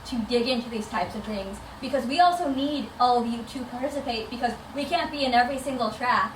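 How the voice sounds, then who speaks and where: A woman with a slightly high voice presents informally to a group. She sounds enthusiastic and conversational, picked up from some distance in a room with clear background noise. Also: brisk. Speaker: chapter director presenting. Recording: echoey noisy room, distant microphone.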